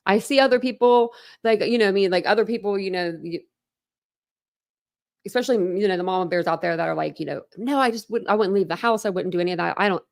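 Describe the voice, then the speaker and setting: A woman's voice: medium pitch, fast, vocal fry, a little mellow. A woman hosting a true crime livestream in casual, rambling commentary, often breaking off and restarting. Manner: rambling, chatty, self-interrupting with laughs. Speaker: true crime channel host.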